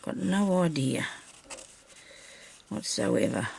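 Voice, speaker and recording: A woman with a slightly raspy voice, recovering from a sore throat, chatting casually to viewers and to herself as she crafts. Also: low voice, big pitch swings, takes it slowly, darkish tone, a little hiss or hum. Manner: chatty, talks to herself while working. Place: craft table indoors.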